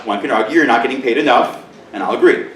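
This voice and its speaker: A middle-aged male teacher giving a conference presentation in a loud, booming voice with joking asides, recorded from far off in a large room. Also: quick pace, reverberant, a little hiss or hum. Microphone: camera mic from far off.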